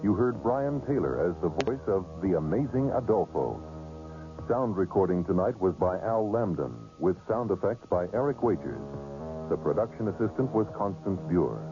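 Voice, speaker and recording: A man with a low voice announces formally from a script as a radio announcer. His intonation is lively and his phrasing clear, on a slightly muffled recording with music behind. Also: normal speed.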